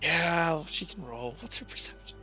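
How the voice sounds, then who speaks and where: Male game master, high voice, halting delivery, dull lo-fi mic; casual tabletop session. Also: expressive, pushed and bright, soft background music. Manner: halting, many fillers and restarts, laughs often.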